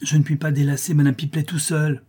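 An urgent, hurried male caller played by a man reading a storybook, teasing his listener from upstairs. The voice is piercing and raised well above the reader's normal pitch. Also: little pitch movement, dark tone, husky. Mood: urgent, pressing. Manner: pressing, hurried calls.

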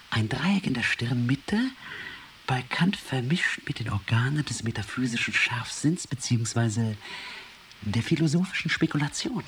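An actor playing a pedantic scholar in a radio drama, precisely enumerating his findings as he instructs. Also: medium pitch. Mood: instructive. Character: pedantic scholar examining a skull by touch. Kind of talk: radio drama, lecturing dictation.

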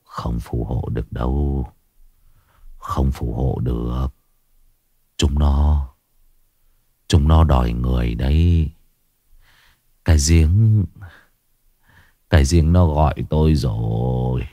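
A man's voice: extremely low pitch, warm tone, no echo, pitched below the performer's own voice, exaggerated voice. A man doing a gaunt, half-mad old man. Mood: haunted dread. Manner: slow hollow muttering.

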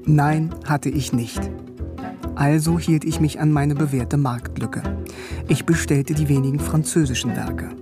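An actor playing a bookish young East German philosophy student in a radio drama, narrating in a wry, literate way. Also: male voice, on the high side, steady tone, unhurried, music in the background. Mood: wry, reflective. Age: young adult. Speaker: narrator and lead.

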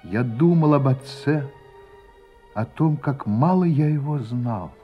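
An actor narrating a radio play as a man looking back on his life, in a slow, reflective manner that falls to a hush at the ends of phrases. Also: medium pitch, expressive, lo-fi sound. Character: adult man recalling his youth, reflective and grave. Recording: studio narration over music. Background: music score.

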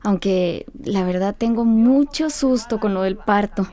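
A woman's voice: mid-range voice, measured pace, warm tone, close to an everyday voice. An actress playing a friendly, anxious young pregnant woman in a radio soap, with a Costa Rican accent. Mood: anxious, hopeful. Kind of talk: radio soap opera acting.

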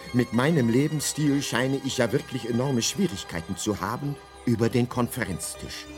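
The voice of a guidebook narrating a radio play. He is a mid-pitched man with lively, swooping intonation, grand and dry at once, with faint music behind him. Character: the narrating guidebook, measured, dryly grandiose male storyteller. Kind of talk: scripted narration in a radio drama.

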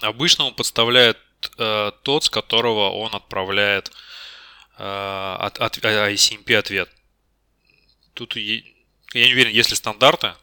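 A man's voice: fairly low pitch, steady tone, normal speed, long pauses, sharp tone, smooth, no room sound, clean studio sound. A man being interviewed for a technical job, explaining spontaneously and tentatively, often correcting himself. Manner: tentative, self-correcting, admits uncertainty.

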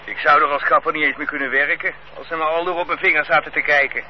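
A man's voice: a little high, fairly even intonation, quick pace, natural voice. An actor playing a worn-out 57-year-old barber who grumbles that his trade is dying, in a radio drama. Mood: grumbling. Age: 50s. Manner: complaining, sighing chatter. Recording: dull old radio play recording with hiss.

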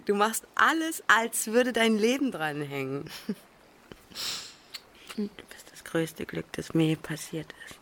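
A woman's voice: medium pitch, takes it slowly, long pauses, fairly bright tone, a little hiss or hum. An actress playing a playful, affectionate woman in love, teasing her girlfriend in a naturalistic audio drama. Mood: affectionate, persuading. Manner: teasing, affectionate, breaking into laughter. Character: playful, adoring female lover wooing her girlfriend.

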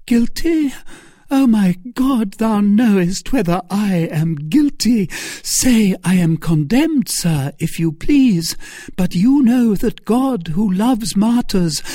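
A narrator voicing a melodramatic woman schemer in an audiobook novel, feigning pious innocence with breathy, imploring pleas. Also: medium pitch, expressive, slow, mellow, no room sound, clean studio sound, pitched above the performer's own voice. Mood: desperate pleading.

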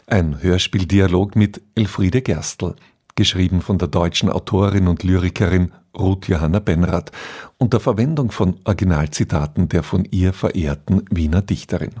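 A man's voice: sits low, warm tone, husky. A man reading scripted programme announcements as a radio presenter. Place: radio studio.

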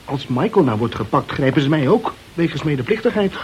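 An old radio drama recording with clear noise. a cheeky, reckless male reporter who talks in a jaunty, ironic way, as if danger amuses him. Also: a little high, sing-song, fairly quick. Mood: worried, brisk. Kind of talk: old Dutch radio play acting.

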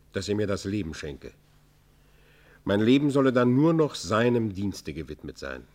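An actor playing a proud, remorseful robber pleading for mercy in a radio play, with formal, declamatory delivery. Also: male voice, fairly low pitch. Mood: proud, bitter. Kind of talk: German radio play, classical literary acting.

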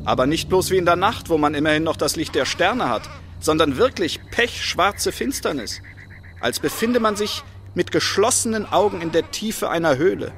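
An actor playing a passing shepherd in a radio drama, explaining emphatically about a dark land. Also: male voice, on the high side, expressive, normal speed, slight background noise. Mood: foreboding. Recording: faint outdoor ambience with bleating sheep.

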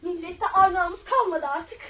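An actress playing a doting, nosy housemaid in a radio play, exclaiming and lamenting in a deferential way. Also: female voice, very high voice, normal speed, sharp tone, slight background noise. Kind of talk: Turkish radio theatre acting.

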